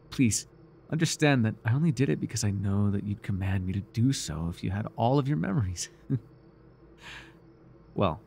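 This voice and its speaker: A man playing a devoted, obsessive, fawning cult follower in a theatrical, halting ASMR roleplay. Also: fairly low pitch, animated, a little mellow, slight background noise. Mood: giddy, fawning. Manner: theatrical, breathy sighs, nervous chuckles, halting. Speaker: voice actor.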